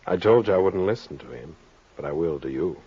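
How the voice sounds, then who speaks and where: A man playing a possessive, protective tough-guy husband in an old-time radio crime drama, slangy and quietly menacing. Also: sits low, animated, brisk, slightly rough, full character voice. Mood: low, protective. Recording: muffled, noisy old-time radio recording.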